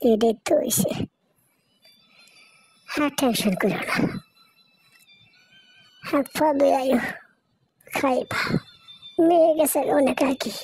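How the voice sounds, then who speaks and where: A woman's voice: a little high, darkish tone, husky. A woman playing a poor, worried, self-sacrificing village wife and mother in a cartoon moral story, soothing yet plaintive, with a rural Bangladeshi Bengali accent.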